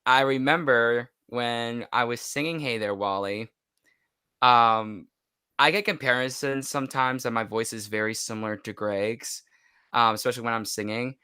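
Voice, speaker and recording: A man's voice: mid-range voice, normal speed, pushed and bright, clean tone, no room sound, studio quality. An American man chatting casually as a podcast guest and telling anecdotes. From United States.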